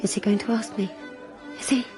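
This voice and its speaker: An actress playing an excitable, anxious young noblewoman in a period radio drama, breathless and girlish. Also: medium pitch, fairly quick, music in the background, natural voice. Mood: hopeful yearning.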